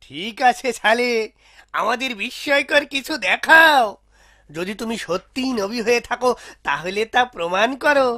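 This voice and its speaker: Cartoon villager, female performer: sceptical challenger, sharp forceful tone, fairly high pitch. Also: animated, moderate pace. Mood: challenging. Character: sceptical villager challenging a prophet to prove himself. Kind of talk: animated cartoon dialogue.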